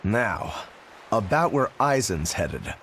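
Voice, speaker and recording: A man's voice: lowish voice, very animated, slow, a bit bright, a little hiss or hum, exaggerated voice. An actor playing an easygoing, confident adult swordsman in a video game. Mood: relaxed, confident. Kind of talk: English video game voice acting.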